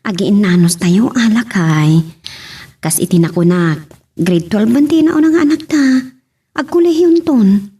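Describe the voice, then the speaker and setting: Actress as determined mother and wife, radio drama; lively, swooping pitch, slightly husky delivery. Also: measured pace. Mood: persuading. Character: earnest married mother set on working abroad. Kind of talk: amateur radio-style drama acting.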